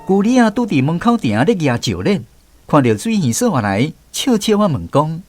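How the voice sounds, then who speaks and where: A man's voice: on the high side, big pitch swings, normal speed, a little mellow. A man narrating a village story in a radio drama, looking back on his childhood. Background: music.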